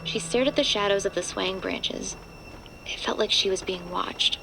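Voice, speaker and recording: Woman, horror story narrator, scripted delivery, creaky voice, medium pitch, clear background noise. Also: normal speed, pushed and bright, poor audio.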